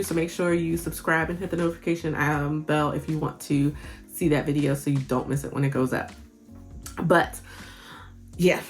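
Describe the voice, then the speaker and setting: A woman in her forties, a vlogger and health coach, talking candidly and sounding tired, laughing often and restarting her sentences. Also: low-pitched. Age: 40s. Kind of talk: candid personal vlog.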